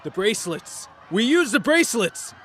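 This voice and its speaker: A man playing an eager, quick-thinking but cautious teenage boy in an audio drama. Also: high-pitched, big pitch swings, fairly bright tone, a little hiss or hum. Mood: alarmed.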